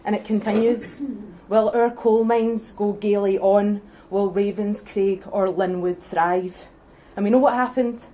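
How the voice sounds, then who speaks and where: A young Scottish woman giving an earnest, forceful speech at a public debate, building her case point by point. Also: medium pitch, steady tone, a little slow, hardly any pauses, low-quality recording. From Scotland. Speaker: debate speaker for independence.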